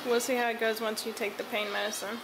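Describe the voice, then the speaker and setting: A woman's voice: on the high side, little pitch movement, fast, noisy background. A woman chatting casually from behind the camera in a home vlog. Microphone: behind the handheld camera. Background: music.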